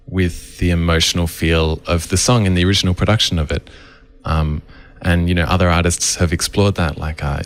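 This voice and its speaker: A man, an arranger explaining his approach to songs in an earnest, thoughtful interview. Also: low voice. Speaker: musical arranger.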